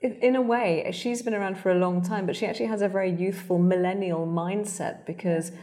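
A woman's voice: mid-range voice, fairly even intonation, fairly quick, mellow. A woman journalist interviewing a guest, speaking articulately and measuredly with occasional hesitation fillers. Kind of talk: interview questions and commentary.

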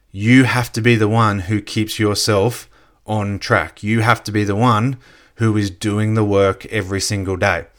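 A man with a slightly croaky voice giving a casual motivational business talk, full of "you know" fillers. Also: on the low side, fairly bright tone. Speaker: network marketing coach. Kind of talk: motivational solo podcast talk.

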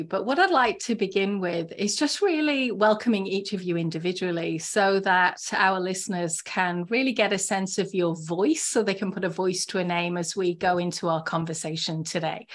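A woman's voice: mid-range voice, a bit bright. A woman hosting a podcast in an upbeat, effusive way, laughing often. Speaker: podcast host.

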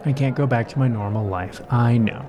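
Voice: mid-range voice, moderate pace, crowd noise, close to an everyday voice. A performer playing a guarded, sardonic woman spy in an audio drama, terse, dry and unimpressed. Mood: guarded, menacing.

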